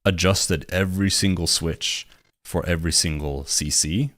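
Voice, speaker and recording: A man's voice: low-pitched, steady tone, normal speed, fairly bright tone, dry recording. A man chatting casually with a friend, hesitant and full of restarts. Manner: hesitant, lots of fillers and restarts. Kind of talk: casual chat.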